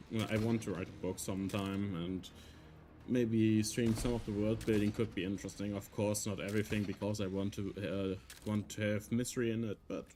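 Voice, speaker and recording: Slow, low-voiced German man streaming. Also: darkish tone. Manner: thinking aloud, hesitant and halting. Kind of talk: casual gameplay commentary.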